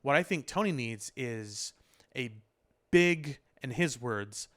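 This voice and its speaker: A man with a clear, smooth voice talks as a podcast co-host. His delivery is casual and conversational, circling through explanations with plenty of fillers at a middling pitch. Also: expressive, takes it slowly, fairly bright tone. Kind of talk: casual pop culture discussion.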